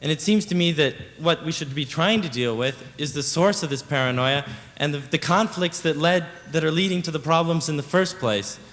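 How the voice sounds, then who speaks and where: A man's voice: fairly high pitch, normal speed, few pauses, fairly bright tone. A young man, a student, asking an earnest, wordy question from the floor at a town hall. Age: young adult. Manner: earnest, wordy, searching for words. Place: large lecture hall. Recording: long reverb in a large hall.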